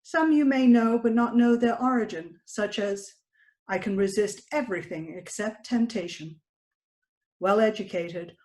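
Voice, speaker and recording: A woman's voice: medium pitch, darkish tone. A woman presenter reading a scripted introduction.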